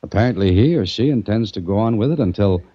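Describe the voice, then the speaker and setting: An American man playing a calm, inquisitive adventurer, narrating a mystery in measured 1940s radio style. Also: on the low side. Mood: probing, concerned. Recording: muffled old-time radio recording, music behind.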